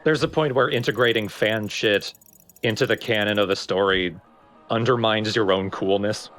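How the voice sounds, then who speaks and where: A man's voice: lowish voice, normal speed, fairly bright tone, faint music behind. A man giving dry, casual co-commentary on a video game. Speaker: let's play co-commentator.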